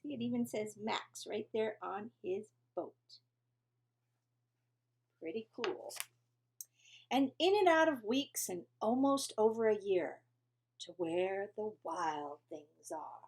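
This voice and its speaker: Expressive, unhurried woman reading a storybook. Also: mid-range voice, husky. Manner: expressive, with chatty asides to young listeners. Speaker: kindergarten teacher reading to her class. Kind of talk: animated children's storybook read-aloud.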